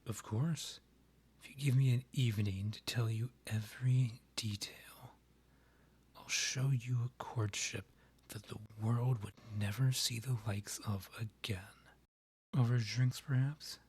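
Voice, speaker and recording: A man acting a breathy, lovestruck vampire king. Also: mid-range voice, fairly even intonation, darkish tone. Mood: courtly, persuasive. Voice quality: breathy, half-whispered. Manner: formal, courtly phrasing, slow pleading delivery. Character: lovestruck male vampire king, courtly, yearning, tender suitor. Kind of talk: romantic ASMR audio roleplay.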